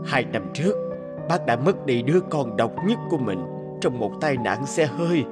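A man voicing a gentle, consoling older man. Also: medium pitch, lively intonation, normal speed, close to an everyday voice. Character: kind older man who lost his only son. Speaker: voice actor. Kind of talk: animated drama dubbing. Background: background music.

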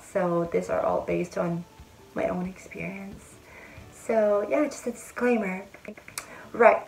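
A woman's voice: on the low side, a little mellow, low-quality recording. A young woman talking to camera in a chatty, friendly way, giving step-by-step advice in a casual vlog. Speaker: vlogger. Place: indoor room with a bead-curtain backdrop.

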